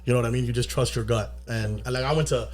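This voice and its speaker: Hyped young canadian host, talks fast, says bro a lot. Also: male voice, mid-range voice, fairly even intonation. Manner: hyped, slangy, calls people bro. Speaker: podcast host. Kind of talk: casual podcast hosting.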